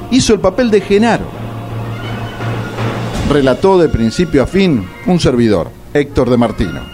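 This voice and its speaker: A man, giving comic scripted narration for a radio play. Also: a little high.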